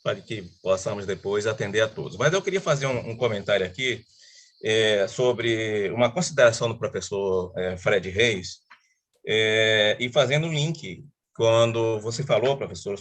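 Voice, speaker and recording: Formal lower-voiced moderator. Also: male voice, moderate pace. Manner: formal, hesitant with filler sounds.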